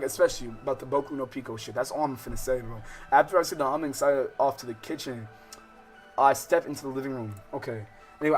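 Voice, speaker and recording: American male let's-play streamer, lower-pitched than some but ordinary range, slangy, profane, self-interrupting, game music underneath. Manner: slangy, profane, digressive, interrupting himself while reading. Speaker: livestream host playing a visual novel. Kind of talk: casual let's-play commentary with read-aloud game text. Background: game soundtrack music.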